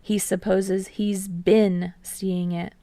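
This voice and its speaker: A woman narrates fiction in an audiobook style. Her delivery is slow and measured, with a creaky edge to the voice and a close, dry sound. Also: medium pitch, little pitch movement, dark tone, dry recording. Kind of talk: scripted story narration.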